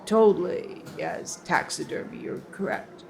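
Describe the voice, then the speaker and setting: A woman playing an eager, rambling small-town hobbyist in an improvised comedy audio drama, halting and repetitive, with a creaky voice. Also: lowish voice, animated, a little mellow, heavily acted. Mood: earnest excitement. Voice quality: creaky, gravelly. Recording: busy crowd chatter behind.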